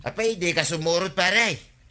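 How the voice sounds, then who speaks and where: Anxious village man who lost his cow, ilocano radio drama, very bright highish voice. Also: moderate pace.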